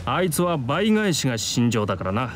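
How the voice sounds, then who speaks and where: A man's voice: medium pitch, very animated, moderate pace, a little hiss or hum, lightly acted. A man voicing a stern, proper teenage student in a Japanese visual novel, formal and admonishing with old-fashioned phrasing. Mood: stern, composed. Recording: outdoor street ambience behind voice.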